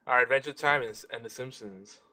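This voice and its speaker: A man chatting casually as a podcast co-host, explaining things in a loose, halting way. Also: mid-range voice, sharp tone. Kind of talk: casual chat. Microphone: online voice chat mic.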